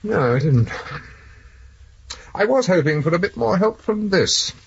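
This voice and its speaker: An actor playing a blunt, sceptical senior English police officer with a husky voice in a 1970s radio drama. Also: male voice, high-pitched, very animated, a little slow, full character voice. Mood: dry, sceptical. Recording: dull old radio recording.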